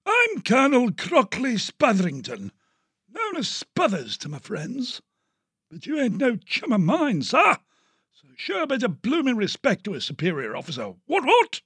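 A man's voice: extremely high pitch, wildly swooping pitch, normal speed, a bit bright, breathy edge, no echo, studio quality, voice pushed up, exaggerated voice. A man voicing a blustering, pompous old male hare colonel who speaks in indignant outbursts. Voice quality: fussy and indignant. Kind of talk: audiobook character voice.

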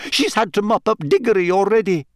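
A man voicing a stern, authoritative older woman professor in a storybook, speaking briskly. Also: mid-range voice, no echo, studio quality, voice pushed up, natural voice. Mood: brisk, approving.

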